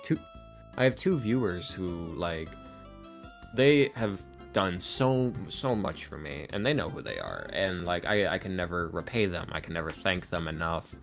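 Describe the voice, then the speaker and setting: Lowish guy chatting on a podcast, really up and down, trips over and repeats words, soft music behind. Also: creaky. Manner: animated, halting, repeats himself. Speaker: podcast guest, ASMR roleplay creator and voice actor. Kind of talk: casual interview chat. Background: background music.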